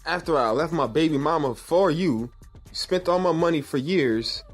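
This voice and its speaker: A man acting a possessive, mocking, menacing boyfriend with a rough voice in an audio drama. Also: a little high, normal speed, close to an everyday voice. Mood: angry, threatening. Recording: music behind the voice.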